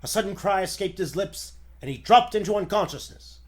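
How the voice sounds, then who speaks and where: A man's voice: high-pitched, expressive, fairly quick. A man playing an urgent, theatrical narrator in the style of a 1940s radio serial. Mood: urgent, dramatic.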